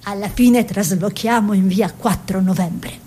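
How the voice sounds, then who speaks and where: An actress playing an impulsive, gossipy, tenderly scolding artist wife in an Italian radio drama, with a theatrical delivery. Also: female voice, mid-range voice, measured pace, slightly rough. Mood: reminiscing. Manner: theatrical, shifting between exclamations and soft musing.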